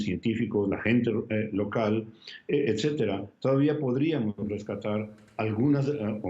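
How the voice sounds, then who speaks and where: A man with a low, mellow voice answers as a scientist in an interview. He talks in a measured way and pauses often. The sound is roomy and a little muffled. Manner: measured, hesitant with frequent pauses.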